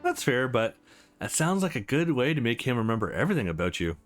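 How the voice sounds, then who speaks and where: A man voicing wry, awkward women, very animated. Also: medium pitch, quick pace, natural voice. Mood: wry, guarded. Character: two young women rivals in love, awkward, wry. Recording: music under the voice.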